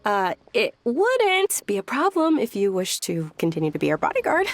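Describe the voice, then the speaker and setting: An actress playing a gentle, polite young noble lady in a video game, courteous and sincere. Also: medium pitch, huge pitch swings, moderate pace. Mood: gentle, glad. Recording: music behind the voice.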